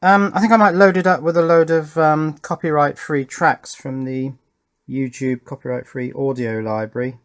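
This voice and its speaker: A man narrating hands-on work casually, thinking aloud with pauses and murmured asides as he goes. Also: on the high side, fairly even intonation, clean tone. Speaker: host of an electronics project channel.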